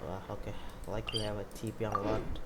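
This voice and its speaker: A man with a fairly low voice comments casually on the game he is playing. The recording is very noisy, with clicks behind the voice. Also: flat delivery, quick pace. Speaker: gameplay streamer.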